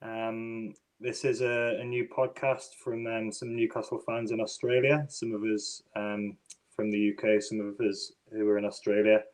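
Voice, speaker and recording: A man's voice: fairly low pitch, normal speed. Northeast English man hosting a podcast. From northeast England. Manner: relaxed, many ums and restarts. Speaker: podcast host. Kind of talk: casual podcast chat.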